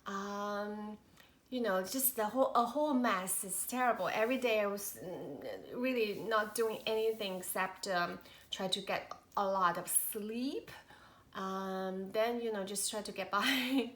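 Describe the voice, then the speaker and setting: A woman in her thirties, a mother of three, chatting casually to camera in a halting, rambling way, her voice nasal from a cold. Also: medium pitch, a bit bright. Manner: rambling, halting, many fillers and restarts. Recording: echoey room.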